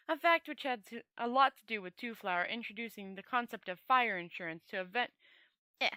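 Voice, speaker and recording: A woman reads a fantasy novel aloud in a bright, fairly high voice. She is an expressive storyteller who often trips on words and goes back over phrases, recorded close and dry. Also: no echo.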